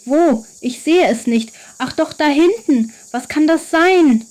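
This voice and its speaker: A woman's voice: high voice, animated, slow, a little mellow. A woman voicing a friendly, curious and reassuring young boy in a children's bedtime story.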